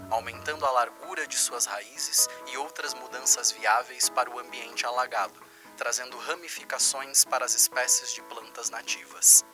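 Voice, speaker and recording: A man's voice: medium pitch, close to an everyday voice. A man playing an earnest science program narrator, formal and explanatory, in a mock documentary. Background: music.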